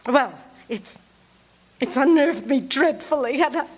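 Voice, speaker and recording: A woman's voice: high voice, unhurried. An actress playing a fretful, imperious bedridden wife in a live radio-theatre show, indignant and fussy, stumbling over her words. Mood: anxious, insistent. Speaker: lead actor in a radio play. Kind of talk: live radio-theatre acting before an audience.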